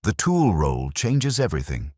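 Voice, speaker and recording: A man plays a diligent police sergeant in a mystery storybook, earnest and methodical. His formal speech sits very low, recorded dry. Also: huge pitch swings, moderate pace, a little mellow, husky, no echo, exaggerated voice. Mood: earnest, satisfied.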